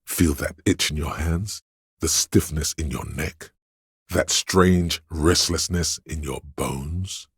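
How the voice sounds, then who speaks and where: A man voicing the hedonistic inner voice of addiction in a video game, a sleazy tempter in the brain with a gravelly, husky, goading drawl. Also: rumbling low, slow pace, dry recording, studio quality, exaggerated voice. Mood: sleazy, coaxing.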